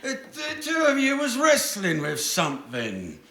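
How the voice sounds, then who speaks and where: A man's voice: very high-pitched, huge pitch swings, measured pace, vocal fry, pushed and bright, heavily acted. A man playing a cantankerous, sardonic old father in a stage radio comedy, blustering and grumbling. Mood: indignant, baffled. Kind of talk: stage radio-play acting in old-fashioned domestic comedy. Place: theatre stage before an audience. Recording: roomy live theatre stage recording.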